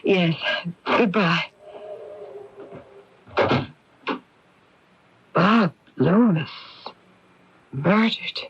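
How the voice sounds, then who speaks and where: An actress playing a worldly, evasive, sharp-tongued middle-aged newspaperwoman, with theatrical emphasis, in a 1980s radio mystery. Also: fairly low pitch, drawn-out words, slightly rough, full character voice. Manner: theatrical, emphatic, repeats words. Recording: dull, dry lo-fi radio broadcast.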